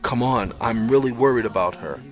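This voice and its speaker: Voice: medium pitch, brisk, highs cut off, over music, close to an everyday voice. A man voicing a worried young man pressing for answers.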